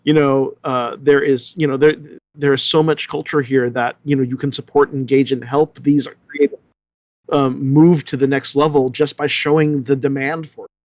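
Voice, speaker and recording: A man's voice: mid-range voice, flat delivery, moderate pace, darkish tone, no echo. A man speaking as a panel guest in a casual discussion, emphatic and discursive.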